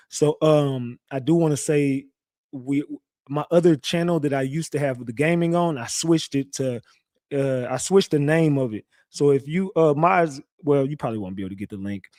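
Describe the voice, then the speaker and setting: A young man with a slightly raspy, recovering voice, hosting a casual live stream and talking loosely to his chat with slang and lots of repeats. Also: mid-range voice, a little mellow, no room sound, studio quality. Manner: loose, repetitive, talks straight to chat with slang. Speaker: live-stream true crime host. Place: home streaming setup.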